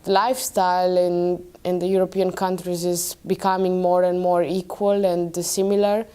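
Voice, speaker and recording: A woman giving measured interview answers. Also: lowish voice, little pitch movement, mellow, clean tone, soft background music.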